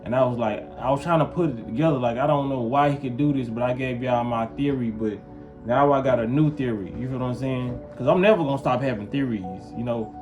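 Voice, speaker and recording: A man's voice: mid-range voice, fairly quick, over music. A man from Memphis talking casually to his viewers, rambling and often checking in with them. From Memphis, US South. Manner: rambling, keeps asking "you feel what I'm saying". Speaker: vlogger. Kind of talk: casual talk to camera.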